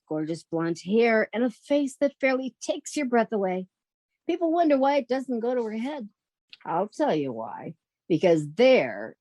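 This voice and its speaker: A woman's voice: on the high side, a little mellow, dry recording. A woman playing a sensible young single woman, wisecracking and fondly exasperated, in 1940s radio comedy style. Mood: wry.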